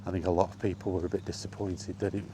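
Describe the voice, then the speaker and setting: A man's voice: deep voice, little pitch movement, quick pace, background noise. A British man giving a casual, rambling, blunt and exasperated monologue as a film vlogger.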